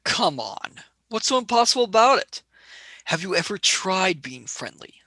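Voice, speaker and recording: A man's voice: sits high, sing-song, moderate pace, pushed and bright, no echo, heavily acted. A man voicing a blunt, skeptical young male space pilot who argues casually in a radio play. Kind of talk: amateur radio play acting.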